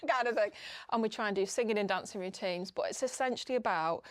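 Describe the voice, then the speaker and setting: A woman's voice: mid-range voice, quick pace, dry recording. Chatty middle-aged Northern English woman. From northern England. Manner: chatty, self-deprecating, candid. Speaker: podcast guest, public speaker. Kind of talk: casual personal storytelling.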